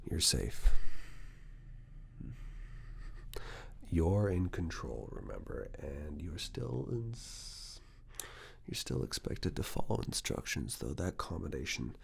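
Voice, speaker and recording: Man playing controlling male assessor, ASMR roleplay, extremely slow, gravelly fry, hushed whispery commands, stern yet reassuring. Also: a bass voice, exaggerated voice. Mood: calm, commanding. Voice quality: breathy, gravelly. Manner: hushed, clipped commands, often slipping into whispers. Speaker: ASMR performer. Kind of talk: ASMR personal attention roleplay.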